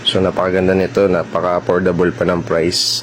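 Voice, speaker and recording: Low-pitched Filipino man, flat delivery. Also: a little fast, slight background noise. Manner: informal, addresses viewers directly. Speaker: vlogger presenting a product installation. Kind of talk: casual product demo vlog narration.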